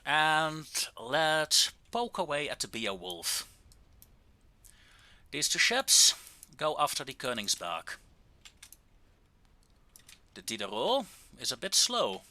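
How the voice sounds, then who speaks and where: A man giving casual, wry and understated commentary on a video game, with drawn-out pauses, into a close microphone. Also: fairly high pitch, big pitch swings, bright, forceful tone, no echo.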